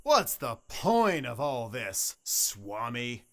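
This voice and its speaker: A man's voice: medium pitch, sing-song, extremely slow, sharp tone, exaggerated voice. An actor playing an irascible, sceptical old man in a radio play, blustering and indignant. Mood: scornful, indignant. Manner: blustering, indignant, stammering scorn.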